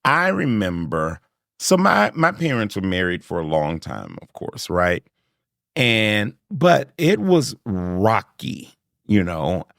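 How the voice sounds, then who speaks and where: A man's voice: on the low side, big pitch swings, slow pace, vocal fry, fairly bright tone, no room sound, clean studio sound. A man hosting a podcast, chatting casually and animatedly, laughing often and acting out quotes. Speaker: podcast host. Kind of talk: casual chat.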